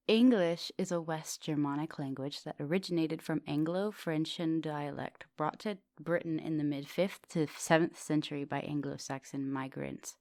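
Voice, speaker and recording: A woman playing a centuries-old vampire English professor in an intimate ASMR roleplay, soft and dominant, doting and teasing, sometimes slipping into breathy whispers. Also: deep voice, dry recording, studio quality.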